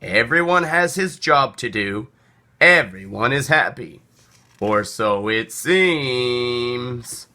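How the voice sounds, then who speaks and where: A man reading a children's story aloud in a theatrical, deliberate narrating style. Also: mid-range voice, lively intonation, bright, forceful tone. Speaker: storybook reader.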